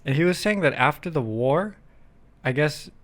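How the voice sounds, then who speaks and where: A man's voice: a little high, animated, moderate pace. Easygoing male host, casual talk, loose rambling delivery. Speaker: podcast host. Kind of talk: casual chat.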